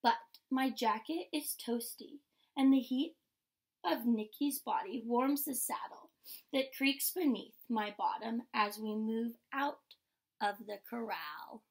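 A young adult woman reading a picture book aloud like a teacher, in a measured, instructional way. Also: a little high. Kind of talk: picture-book read-aloud with short explanations. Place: indoor room with painted mural wall.

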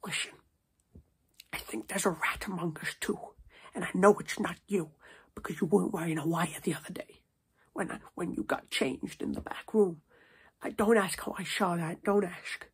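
A young man voicing a stern, scolding New Jersey mob boss in a hushed, husky ASMR roleplay. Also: high voice, moderate pace, full character voice. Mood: confiding. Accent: put-on New Jersey mobster. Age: 20s. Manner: repetitive, slangy, stern with checking tags. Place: small domestic room, indoors.

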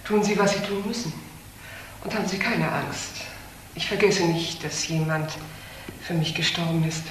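A woman's voice: lowish voice, normal speed, natural voice. An actress playing a proud, weary middle-aged woman doctor in a crime drama, dry and resigned. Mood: composed. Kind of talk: German crime drama acting. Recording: roomy, noisy drama soundtrack.

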